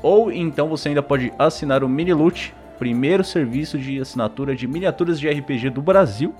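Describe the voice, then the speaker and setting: A man's voice: on the high side, a little fast, a little mellow, soft background music. A man with a Brazilian accent hosting a podcast in an informal, slangy, chatty way. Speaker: podcast host. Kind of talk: casual podcast chat.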